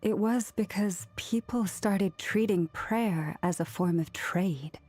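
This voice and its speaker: An actress playing a gentle, earnest young woman who leads a moon faith, with a measured, solemn delivery in a video game. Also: medium pitch, no room sound, faint music behind. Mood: earnest, tender.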